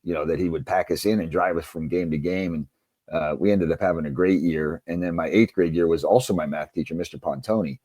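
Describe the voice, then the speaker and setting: A man's voice: sits low, fairly even intonation, fairly quick, mellow, dry recording. A middle-aged American man telling stories conversationally as an interview guest, in a reflective, anecdotal way. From United States. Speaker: interview guest, former basketball coach and author.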